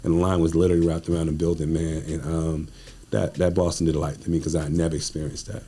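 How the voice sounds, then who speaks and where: A man's voice: rumbling low, flat delivery, fast, dark tone, slightly rough. An American man talking as a guest playwright, telling stories in a conversational, informal and slangy way. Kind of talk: conversational interview storytelling.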